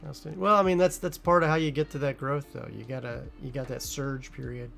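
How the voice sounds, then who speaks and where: A man's voice: medium pitch, fairly even intonation, a little fast, creaky, fairly bright tone, soft background music. A man hosting a casual interview, loose and full of fillers as he asks questions. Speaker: show host. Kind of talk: casual chat.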